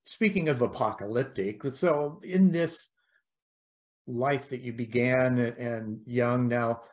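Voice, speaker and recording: A man's voice: medium pitch, takes it slowly. A middle-aged man with a mid-range voice hosts a podcast interview. He asks long, rambling questions with plenty of fillers. He speaks over a video call. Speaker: podcast host. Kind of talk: casual interview chat.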